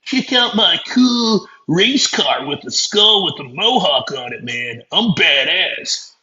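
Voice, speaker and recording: A man voicing a dopey tough-guy man who brags in a slow, dim, swaggering drawl. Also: high-pitched, very animated, pushed and bright, dull audio, voice pushed down, exaggerated voice. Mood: smug. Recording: roomy echo.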